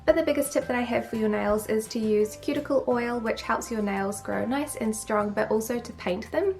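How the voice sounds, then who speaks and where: New Zealand woman with a creaky voice. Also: on the high side, normal speed, darkish tone, faint music behind. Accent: New Zealand, a few English-sounding words. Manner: chatty and matter-of-fact. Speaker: beauty vlogger. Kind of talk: casual Q&A to camera.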